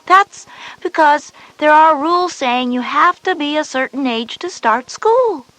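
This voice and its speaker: A woman's voice: sits high, lively intonation, a little slow, fairly bright tone, no echo. A woman voicing a reassuring schoolgirl older sister who patiently explains things in an educational story tape. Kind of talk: scripted educational audio drama.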